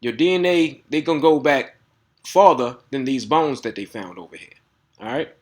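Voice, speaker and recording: A man's voice: mid-range voice, expressive. Guy with talking through a history lesson, reads off notes, keeps going "all right?". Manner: talks informally, often asking "all right?"; reads text aloud haltingly with restarts. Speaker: video host. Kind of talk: casual lecture to viewers.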